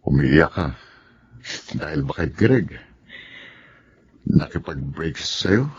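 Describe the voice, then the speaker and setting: Low-voiced, gentle older father. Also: animated, slow, a little hiss or hum, full character voice. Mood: tender, concerned. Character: gentle, protective older father consoling his daughter. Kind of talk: Tagalog radio drama acting. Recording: muffled lo-fi with faint music.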